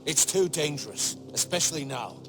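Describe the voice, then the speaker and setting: An actor playing a prudent older castle steward, loyal and grave, speaking in a measured, earnest, formal way in a fantasy game drama. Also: male voice, a little high, animated, over loud music, lightly acted. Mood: cautious, firm.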